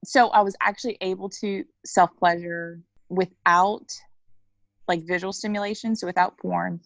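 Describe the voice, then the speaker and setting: A woman's voice: fairly low pitch, fairly even intonation, normal speed, vocal fry, a bit bright, no echo. A woman in her thirties speaking frankly as an interview guest, acting out little bits of dialogue as she talks. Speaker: coaching client, interview guest. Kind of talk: candid conversational interview.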